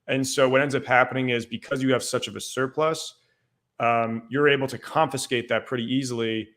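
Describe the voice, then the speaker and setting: A man's voice: medium pitch, steady tone, fairly quick, fairly bright tone. Irish man talking history, lots of fillers. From Ireland. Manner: rambling, many fillers like "like" and "um". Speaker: guest historian. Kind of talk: casual podcast discussion.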